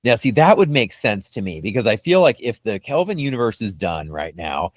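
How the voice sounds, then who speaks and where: A man's voice: lowish voice, very animated, a little fast, dry recording, studio quality. A man co-hosting a podcast in casual, speculative discussion, rambling with lots of "you know" and "like". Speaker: podcast co-host.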